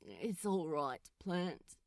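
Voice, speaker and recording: A woman's voice: lowish voice, big pitch swings, very slow, no echo, studio quality, heavily acted. A woman voicing a downhearted, bewildered male demon in a story reading, speaking in a hesitant, glum way. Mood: downcast.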